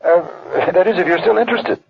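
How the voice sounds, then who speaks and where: An actor playing a nervous, polite art auction dealer in a radio drama, hesitant and stammering. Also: male voice, high-pitched, a little fast, creaky, slightly rough, full character voice. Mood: hesitant, eager. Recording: dull, dry old radio drama audio.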